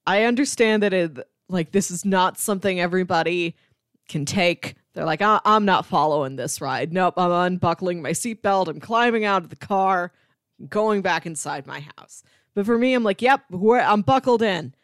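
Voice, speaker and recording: A woman's voice: fairly low pitch, moderate pace, no room sound, clean studio sound. A woman co-hosting a podcast, chatting informally about a film and acting out bits of dialogue as she retells scenes. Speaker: podcast co-host. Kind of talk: casual film discussion.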